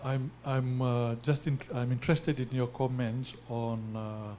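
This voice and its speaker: A man with a dark, mellow voice asks a question at a forum. He speaks very slowly and hesitantly, with noise and a hum in the background. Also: medium pitch, little pitch movement. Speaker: audience member asking a question at a forum. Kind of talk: hesitant, deliberate spoken question.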